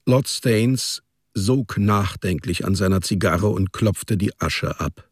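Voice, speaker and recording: Very deep, husky male narrator. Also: slow pace, dry recording, studio quality. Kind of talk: scripted literary narration for radio drama.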